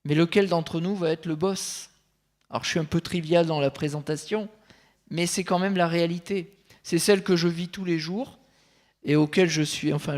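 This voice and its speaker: A man speaking as a consultant on a conference panel, measured and thinking aloud, with frequent fillers and restarts. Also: on the high side.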